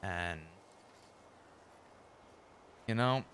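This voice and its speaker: A man's voice: low-pitched, extremely slow, fairly bright tone, a little hiss or hum. A man streaming a roleplay game, chatting in character in a casual, swearing manner. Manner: casual, swears often, chuckles.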